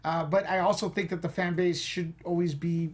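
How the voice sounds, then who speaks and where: A man's voice: high-pitched, fairly even intonation, moderate pace. A man speaking as a panelist on a film show, sharing opinions in casual conversation.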